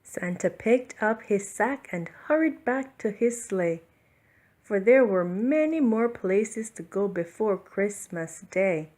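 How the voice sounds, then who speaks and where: A woman reads a children's picture book aloud as a bedtime story. Her pitch sits in the middle range and her pace is unhurried. The delivery is deliberate and expressive. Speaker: storybook reader.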